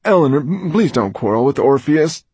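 A man's voice: medium pitch, fairly even intonation, measured pace, gravelly, husky, no echo, voice pushed up, exaggerated voice. A man voicing a nervous, evasive, mild-mannered man in an audiobook, stammering and hesitant. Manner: hushed, hesitant, timid.

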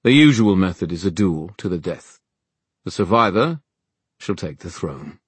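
A man portrays an upright fairy prince in a fantasy audiobook. He is composed and dignified, choosing formal words with measured care, recorded dry. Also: low-pitched, expressive, breathy edge, no room sound, full character voice. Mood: calm challenge.

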